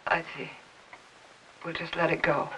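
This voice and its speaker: A woman's voice: low-pitched, level tone, unhurried, fairly bright tone, slight background noise, rough recording. An American woman in her thirties acting a frightened, anxious housewife in a 1950s film melodrama, urgent and politely pleading. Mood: nervous, defensive. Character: frightened, anxious young housewife hiding a secret. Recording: old film soundtrack with music.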